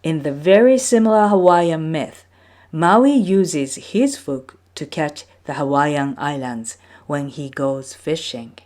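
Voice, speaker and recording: A woman's voice: low voice, takes it slowly, a little mellow. A woman storyteller reading a scripted folktale aloud as narration.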